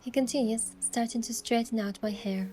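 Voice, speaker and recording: An actress playing a playful, affectionate young heroine who softly narrates her own love story in a romance game. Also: fairly high pitch, steady tone, normal speed, faint music behind, lightly acted. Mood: tender.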